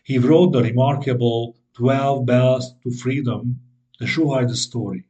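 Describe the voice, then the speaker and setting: A man's voice: medium pitch, mellow, lo-fi sound. Earnest male host. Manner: formal, earnest, some hesitant fillers when asking. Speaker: podcast host. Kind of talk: scripted intro and interview questions.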